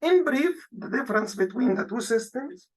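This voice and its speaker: A woman's voice: fairly high pitch, expressive, moderate pace. A woman giving an explanatory lecture as a teacher, walking through examples step by step and repeating points in parallel phrases. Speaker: accounting lecturer.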